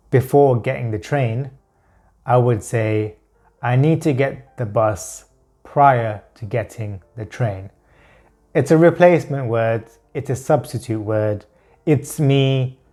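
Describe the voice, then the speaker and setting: Man, stutter, blocks and repeated words, very slow halting talk, faint music behind. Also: mid-range voice, a little mellow. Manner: slow, halting delivery with long pauses. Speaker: vlogger talking about his stutter. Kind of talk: personal talk to camera.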